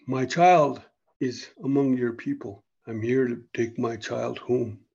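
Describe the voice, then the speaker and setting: A man's voice: mid-range voice, fairly even intonation, takes it slowly, gravelly, warm tone, slightly rough, lo-fi sound, full character voice. A man voicing a troubled young brave seeking his child in a folk tale, speaking earnestly. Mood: determined. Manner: earnest, pleading, determined.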